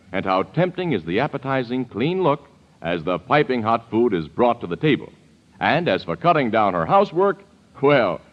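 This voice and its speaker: A man with an American accent reading a scripted 1940s radio commercial in a polished, emphatic way. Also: mid-range voice. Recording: muffled old-time radio recording.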